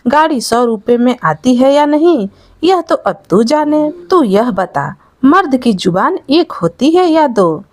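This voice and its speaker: A woman's voice: high-pitched, sing-song, takes it slowly, no echo, studio quality, heavily acted. A woman storyteller voicing a cunning, bullying male merchant who scolds and lectures in a moral tale. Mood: irritated, bullying. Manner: scolding, lecturing, self-righteous.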